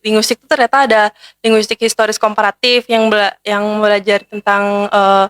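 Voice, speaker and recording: A young woman guest from Bali answering interview questions casually and hesitantly, with many pauses and fillers. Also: mid-range voice, completely flat delivery, fairly bright tone. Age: young adult. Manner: hesitant, many fillers, calls herself Kakak. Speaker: guest, former student council head.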